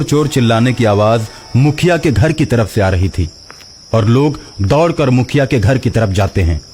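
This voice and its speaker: A man tells a scripted moral tale as its narrator. His mid-pitched voice moves up and down in a very lively way. Faint music runs behind the narration. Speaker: storyteller.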